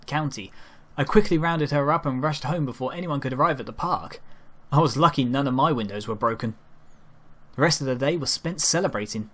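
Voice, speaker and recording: A man with a slightly high voice narrates a first-person story. He reads as an amateur, with an unpolished delivery that can trip over the wording. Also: fairly bright tone. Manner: halting amateur delivery with stumbles over lines. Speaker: amateur reader narrating a fan fiction story.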